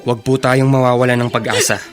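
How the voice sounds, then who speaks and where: Actor as an earnest, dutiful young son. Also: male voice, mid-range voice, level tone, a little fast, over loud music, close to an everyday voice. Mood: earnest, reassuring. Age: young adult. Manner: respectful, earnest. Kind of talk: Tagalog radio drama acting.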